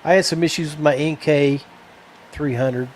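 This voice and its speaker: A man with a slightly high voice chats casually as a podcast host. He rambles and halts, repeating small words as he feels his way through sentences. Clear background noise sits around the voice. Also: fairly even intonation, moderate pace. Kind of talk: casual solo podcast chat.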